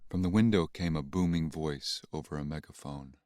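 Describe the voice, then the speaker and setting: A man's voice: rumbling low, moderate pace, a little mellow. A man narrating an audio drama as scripted storytelling.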